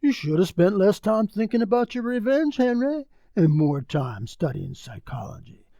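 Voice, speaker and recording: An actor playing a sly, mocking, sinister narrator in 1940s radio mystery style, gravelly, husky and gloating. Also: male voice, high-pitched, very animated, moderate pace, dark tone, dry recording, lo-fi sound, heavily acted. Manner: sardonic, gloating.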